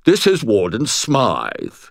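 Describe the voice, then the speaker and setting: A man's voice: lowish voice, huge pitch swings, takes it slowly, vocal fry, no room sound, studio quality, voice pushed up, full character voice. A man voicing a haughty aristocratic wizard lawman for an audiobook, with a pompous, drawling British accent. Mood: haughty, condescending. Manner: pompous, drawling, exaggeratedly snooty.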